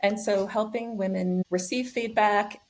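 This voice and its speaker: A woman speaking as a coach in a conversational interview, explaining in a measured way. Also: medium pitch, fairly even intonation. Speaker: leadership coach, podcast guest.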